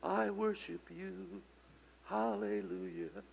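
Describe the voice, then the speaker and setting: A middle-aged man preaching a sermon in church, slightly hoarse and raspy from singing, conversational with loud bursts of emphasis. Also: high-pitched, very animated, takes it slowly, a little hiss or hum. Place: church sanctuary. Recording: long hall reverb in church.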